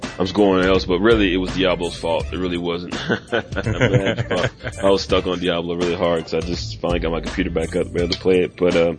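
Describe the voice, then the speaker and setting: A man hosts a casual podcast, talking in relaxed slang with loose, rambling sentences. His voice sits on the low side. Music plays clearly behind him. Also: brisk. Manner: laid-back slang, rambling with fillers. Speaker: podcast host.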